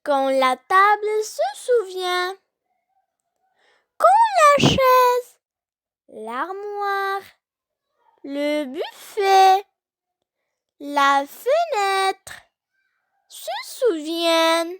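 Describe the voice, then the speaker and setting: A childlike voice reciting a poem for young learners, deliberately and phrase by phrase, with long pauses. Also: very high-pitched, sing-song, a bit bright, no room sound, studio quality.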